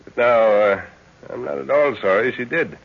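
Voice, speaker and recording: An actor playing a shrewd, genial explorer and father in a 1930s radio drama, speaking with measured authority. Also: mid-range voice, creaky, slightly rough. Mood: genial, explanatory. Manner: measured, hesitant pauses, authoritative. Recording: muffled old 1930s radio recording.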